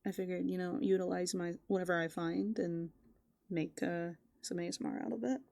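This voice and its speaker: A woman doing improvised ASMR roleplay, softly and playfully musing aloud, slipping into breathy whispers close to the microphone. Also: fairly low pitch, fairly even intonation, brisk, dry recording. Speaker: ASMR performer in a raccoon avatar persona.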